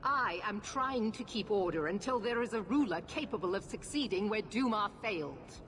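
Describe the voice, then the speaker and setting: An actress playing a stern, unyielding knight commander, with a commanding, severe and formal delivery in a fantasy game. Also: female voice, medium pitch, lively intonation, normal speed, a bit bright, slight background noise, natural voice. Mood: cold, unyielding. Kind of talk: dramatic fantasy video game acting.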